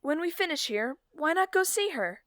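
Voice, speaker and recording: A woman's voice: sits high, very animated, normal speed, fairly bright tone, dry recording, clean studio sound. A woman playing an eager, caring knight in a fantasy audio drama. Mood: eager, worried.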